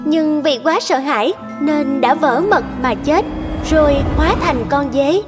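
A woman narrates an animated fairy tale from a script. Her voice is very high, and music runs clearly underneath. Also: unhurried.

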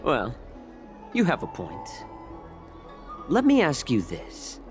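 A man voicing a composed, sly fox-man in a video game, politely needling and gently mocking. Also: mid-range voice, wildly swooping pitch, slow pace, soft background music. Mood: amused, teasing. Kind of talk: measured game character acting.

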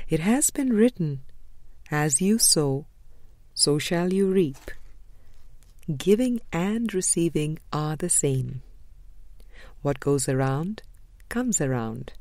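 A woman reading a spiritual book aloud in a measured, deliberate way, pausing between short phrases. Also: deep voice, darkish tone, no echo. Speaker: audiobook reader.

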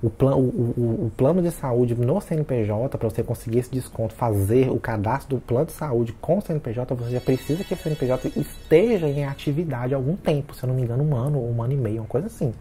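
A man's voice: medium pitch, fast talker, few pauses, mellow, soft background music. A man in his late twenties chatting casually to his viewers, talking straight to them and keeping them involved throughout. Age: 20s. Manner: chatty, keeps addressing viewers as "gente". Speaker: vlogger. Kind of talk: casual chat to camera.

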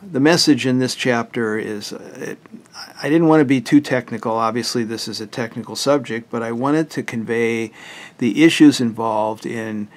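An older man with a hoarse, raspy voice who often clears his throat, walking plainly through a book he wrote. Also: medium pitch, moderate pace. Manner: plain, matter-of-fact, list-like explanation. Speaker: author presenting his book. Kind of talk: casual book walkthrough.

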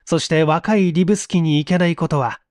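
Male audiobook narrator, slightly high pitch, dramatic literary delivery, expressive phrasing, dry studio-like sound. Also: fairly quick, no room sound. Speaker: reader of audio stories.

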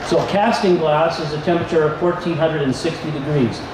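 An older man giving a relaxed live glass-craft demonstration, pausing with fillers as he handles pieces. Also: fairly high pitch, background noise. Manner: relaxed explaining, many fillers, handling pieces while talking. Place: large convention hall room. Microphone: far camera. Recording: echoey hall, voice from far off.

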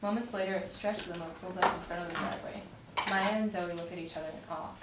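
Female narrator, young, slightly low voice, reading screenplay directions aloud, echoey room. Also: fairly even intonation, fast talker. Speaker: stage-direction reader. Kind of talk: scripted narration at a table read. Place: home dining room, around a table. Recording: roomy, distant mic, clear noise.

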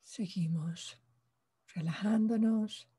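Very slow, breathy woman guiding meditation. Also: mid-range voice. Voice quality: soft, breathy. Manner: calm, hushed, pausing between short phrases. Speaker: meditation guide. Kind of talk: guided meditation, slow spoken instructions.

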